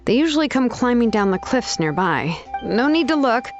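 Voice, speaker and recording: A woman's voice: on the high side, very animated, moderate pace. A woman voicing a laid-back, self-assured young blacksmith in a video game, speaking in a casual, nonchalant way. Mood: matter-of-fact. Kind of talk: video game character dialogue. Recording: slightly dull audio under music. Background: game music.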